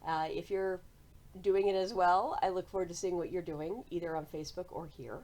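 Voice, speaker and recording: A woman's voice: fairly low pitch, fairly quick, a little mellow. An American woman chatting casually and cheerfully to viewers as the host of a hobby vlog. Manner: conversational, cheerful. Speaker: cross-stitch vlogger and host.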